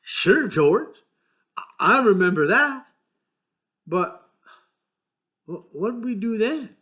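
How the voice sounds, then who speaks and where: A man voicing a big, slow-witted, gentle man who stammers eagerly and pleads softly. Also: sits high, big pitch swings, pitched above the performer's own voice, full character voice.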